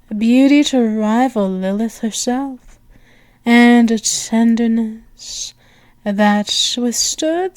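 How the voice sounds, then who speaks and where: Casting: woman as regal demon princess for ASMR roleplay, possessive and seductive, unhurried medium-pitched delivery, very close dry sound. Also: a little mellow, no echo. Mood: smitten, teasing. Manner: slow, lingering, regal, doting endearments, often breaking into whispers. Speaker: audio roleplay performer. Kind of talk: ASMR roleplay acting, dramatic monologue.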